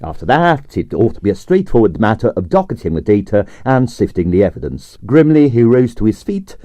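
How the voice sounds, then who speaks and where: A man's voice: lowish voice, very animated, a little fast, mellow, dry recording. A man reading a comic mystery novel aloud in scripted narration, with a dry, wry storytelling manner. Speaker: audiobook reader.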